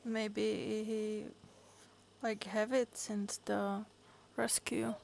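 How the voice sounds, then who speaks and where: Casting: woman streamer, slow creaky musing, halting delivery. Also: mid-range voice, flat delivery, darkish tone, a little hiss or hum. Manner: halting, filler-heavy musing. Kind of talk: live game commentary.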